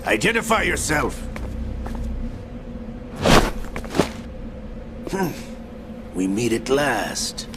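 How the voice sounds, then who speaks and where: An actor playing a menacing older military villain in a video game, with a sly, taunting, theatrical and commanding delivery. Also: male voice, mid-range voice, sing-song, measured pace. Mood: suspicious. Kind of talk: dramatic video game voice acting. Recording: loud game music over the voice. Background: loud music.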